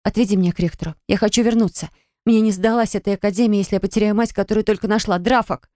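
A woman's voice: medium pitch, fairly even intonation, quick pace, warm tone, dry recording, studio quality, close to an everyday voice. A woman voicing a distraught young female student who pleads in a raised, tearful voice in an audiobook. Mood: angry. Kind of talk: audiobook character voice.

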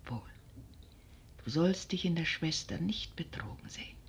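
An actress playing a shrewd, teasing, controlling noblewoman with a husky, breathy voice in a 1950s radio drama. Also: low-pitched, animated, a little slow, whispered, exaggerated voice. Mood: sly resolve. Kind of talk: 1950s German radio play acting.

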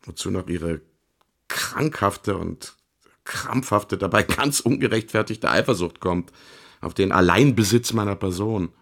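An aggrieved gentleman artist in a radio play, speaking very low with a gravelly creak. He confides theatrically, halting to pick his words and pleading his own case with a self-pitying charm. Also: full character voice. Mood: aggrieved, confessional. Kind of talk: radio drama monologue.

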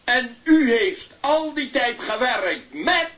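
Slow, bright, showy radio presenter. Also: male voice, very high-pitched, expressive, muffled recording, a little hiss or hum, exaggerated voice. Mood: slick, familiar. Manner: showy, drawn-out announcing. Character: hearty, slick male radio quiz presenter on tape. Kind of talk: radio play acting, show announcing.

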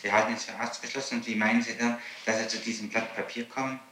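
A man's voice: mid-range voice, flat delivery, fast talker, bright, forceful tone, reverberant, a little hiss or hum, low-quality recording. A man conducting a formal police interrogation, pressing with matter-of-fact questions on an old 1960s tape.

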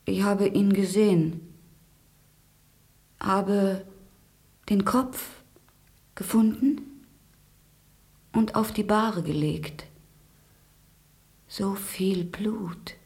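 An actress playing a grieving, bitter grand duchess in a German radio play, halting and often sinking into whispers. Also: female voice, medium pitch, darkish tone. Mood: anguished. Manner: halting, breathy delivery dropping into whispers. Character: grieving widowed Russian grand duchess, pleading, bitter, devout.

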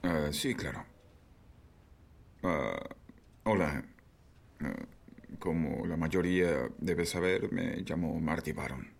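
A man dubbing a calm, measured new newspaper editor in a Spanish film dub. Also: extremely low pitch, creaky, heavily acted.

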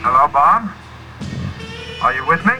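An urgent male astronaut from a science fiction film. His voice is high and swings widely as he argues. Music is clearly heard behind him. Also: animated, a little slow, lo-fi sound. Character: urgent astronaut reasoning with a bomb. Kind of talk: English-language science fiction film dialogue.

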